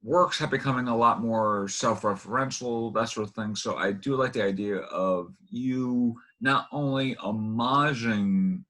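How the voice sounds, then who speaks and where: A man hosts an informal interview in a fairly low, bright and forward voice. He asks his questions haltingly, rambling and restarting as he searches for the right words. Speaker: interview host.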